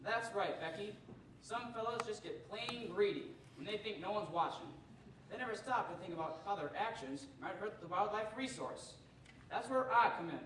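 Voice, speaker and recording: Folksy, kindly outdoorsman dad played by a young man, radio play, echoey room with clear background noise. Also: high-pitched, expressive, moderate pace, fairly bright tone. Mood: kindly, easygoing. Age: young adult. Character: folksy, kindly game warden father. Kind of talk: stage radio-show acting. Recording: echoey stage, distant mic, clear noise.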